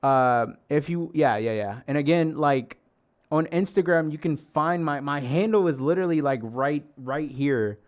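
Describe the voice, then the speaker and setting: A man livestreaming and chatting loosely with his viewers, loud, profane and jokey, saying he is losing his voice. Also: on the high side, a little slow. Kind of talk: casual stream chat to viewers.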